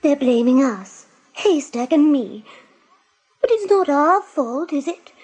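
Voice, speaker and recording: High-pitched, timid doe rabbit. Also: female voice, expressive, slow pace, a little mellow, poor audio. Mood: hurt, fretful. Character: timid, sensitive young doe rabbit newcomer.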